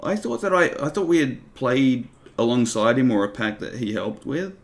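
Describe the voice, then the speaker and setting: A man with a gravelly voice, chatting and teasing a friend drily during a gaming stream. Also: medium pitch, lively intonation, moderate pace. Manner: dry, teasing banter. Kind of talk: casual gaming chat.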